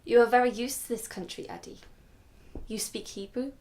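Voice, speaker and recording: A woman playing a dignified grandmother telling her life story in a radio drama, measured and solemn. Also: fairly high pitch, fairly even intonation, darkish tone, natural voice. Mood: patient, earnest. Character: weary, dignified Ethiopian-Israeli grandmother recalling her flight.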